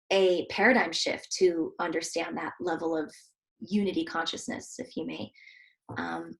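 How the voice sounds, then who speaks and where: A woman's voice: fairly low pitch, poor audio. A woman from Switzerland, a heart coach hosting a casual interview, speaking earnestly and reflectively with many fillers. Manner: earnest, reflective, soft-spoken with frequent fillers.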